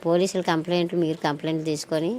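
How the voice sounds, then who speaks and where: Middle-aged woman, somewhat low pitch, plaintive, repetitive, interview answers. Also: little pitch movement, rapid-fire, darkish tone. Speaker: interviewee, bereaved mother.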